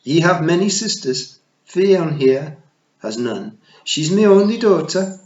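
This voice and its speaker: A man's voice: fairly high pitch, expressive, slow, pitched above the performer's own voice. A man doing a tiny old Scottish pixie matriarch. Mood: fond. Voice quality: broad Scots burr. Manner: warm, teasing and commanding. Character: tiny old dying female pixie chieftain, shrewd and wry. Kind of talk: storybook reading. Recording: roomy, slightly dull recording.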